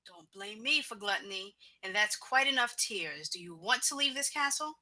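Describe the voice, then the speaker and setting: A woman acts a stern fairy godmother with a very bright, cutting voice at middling pitch. She is controlling and clipped, commanding like someone used to being obeyed in a fairy-tale script. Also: animated, normal speed. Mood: scolding. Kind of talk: screenplay table read.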